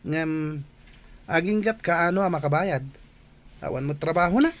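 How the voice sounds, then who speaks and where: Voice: mid-range voice, animated, a little slow, close to an everyday voice. An actor plays an insistent suitor with an Ilocano Filipino accent. The voice presses for an answer in the style of a radio drama.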